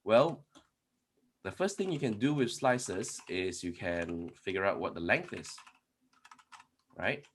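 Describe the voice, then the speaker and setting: A man's voice: on the low side, expressive, slow, pauses often, slight background noise. A man giving a conference talk on programming, explaining step by step with many restarts and rhetorical questions while typing code. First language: not Polish. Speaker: conference speaker. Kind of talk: live-coding tech talk.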